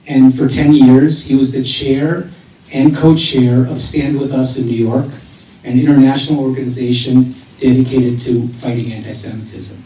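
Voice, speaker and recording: Mellow-voiced man introducing a speaker. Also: medium pitch, fairly even intonation, normal speed. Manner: reads a prepared bio with hesitations. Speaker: event organizer introducing the speaker. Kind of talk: formal introduction. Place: theater auditorium. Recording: echoey hall from far off.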